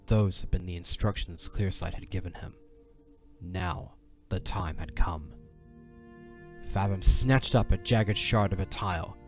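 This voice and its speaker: Deep-voiced man narrating solemnly. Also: measured pace, soft background music. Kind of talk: dramatic scripted narration.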